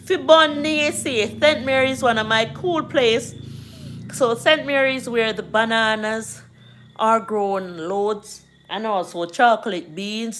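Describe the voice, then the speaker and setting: Slow-talking Jamaican woman on a livestream. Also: on the high side, fairly bright tone. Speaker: livestream host reading viewer comments. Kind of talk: casual livestream chat.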